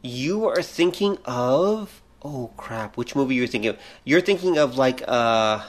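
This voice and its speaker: A man's voice: medium pitch, expressive, a little slow. A man co-hosting a podcast, explaining films in a casual, opinionated way. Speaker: podcast co-host. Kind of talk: casual film chat.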